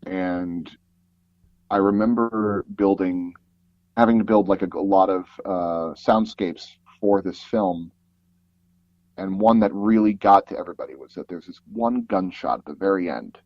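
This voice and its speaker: Low-voiced man chatting, dry and slightly muffled. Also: a little slow, no echo. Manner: rambling, animated storytelling with frequent "like" and repeated false starts. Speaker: interview guest, sound designer and audio drama producer. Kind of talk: casual interview chat. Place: small closet recording booth padded with sound-absorbing foam.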